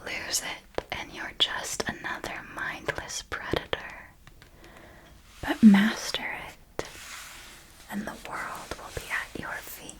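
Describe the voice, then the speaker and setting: Slow, whispering female vampire mentor, acted by a woman. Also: close to an everyday voice, low-quality recording. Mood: calm, stern. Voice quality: breathy whisper. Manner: slow, deliberate, formal, intimate. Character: elegant female vampire mentor, possessive, fond, calmly commanding. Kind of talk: whispered ASMR roleplay. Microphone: close microphone.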